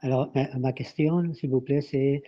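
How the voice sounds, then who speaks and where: Man, French speaker, caller on a dull lo-fi online call; halting, repeats himself. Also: mid-range voice, fairly even intonation. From Spain. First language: not French. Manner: halting, repeats words while searching for French. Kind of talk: asking a question in an online call. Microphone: online call microphone.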